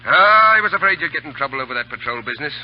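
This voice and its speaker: An actor playing a mocking, debonair officer in an old radio drama, teasing with mock politeness. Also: male voice, a little high, animated, very fast, faint music behind, natural voice. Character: mocking, debonair British officer who needles his comrade. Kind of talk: 1930s radio drama acting. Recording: muffled old radio broadcast.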